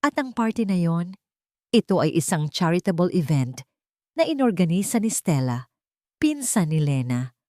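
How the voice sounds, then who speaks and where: Female narrator for scripted romantic drama, slightly low register, very dry recording. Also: very animated, normal speed, warm tone, no echo. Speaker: storyteller.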